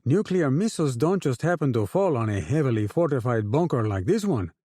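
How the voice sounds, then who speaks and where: A man's voice: medium pitch, big pitch swings, fairly quick, mellow, dry recording, clean studio sound, voice pushed up. A man voicing a young, oily male drug lord with a Spanish accent who speeches to his men in a falsely friendly, rousing way.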